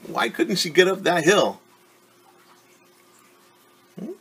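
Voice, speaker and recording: A husky-voiced man in his forties reads a children's story aloud in a teacher's style, with very varied intonation. He stops to explain words simply and ask questions. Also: sits high, animated, a bit bright. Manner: explains words slowly, asks rhetorical questions. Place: small room at home.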